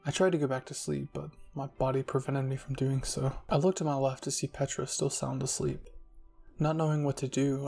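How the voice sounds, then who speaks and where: A man narrating a scripted fan fiction story in a soft ASMR style as a channel host, with music behind him. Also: mid-range voice, vocal fry.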